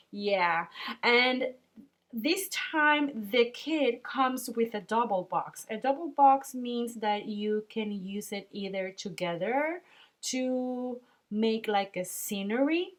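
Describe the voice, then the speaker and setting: A young woman from Puerto Rico with a Puerto Rican Spanish accent, narrating a casual voice-over as she decorates a planner, pausing often with ums and small laughs. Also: medium pitch. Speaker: planner sticker shop owner.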